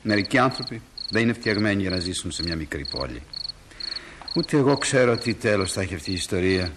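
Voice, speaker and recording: An actor playing a middle-aged small-town husband in radio theatre, mildly grumbling yet kindly, weary and reasoning. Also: male voice, low voice, animated, very noisy. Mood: reflective.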